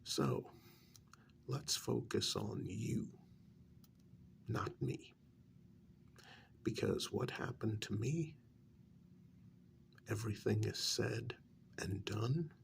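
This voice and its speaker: Slow, creaky, whispering man as a calm mediator. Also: fairly low pitch, a little hiss or hum, exaggerated voice. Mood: subdued, frank. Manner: slow, hesitant, polite, measured. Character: calm, courteous, bluntly honest human mediator among vampires. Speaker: ASMR role-play performer. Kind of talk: soft-spoken ASMR role-play.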